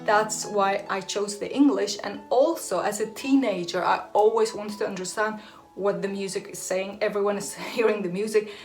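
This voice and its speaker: A woman's voice: mid-range voice, fairly even intonation, moderate pace, darkish tone, faint music behind. A young woman from Iraq whose first language is Aramaic, chatting casually to camera as a hair and beauty vlogger, laughing often and restarting her sentences.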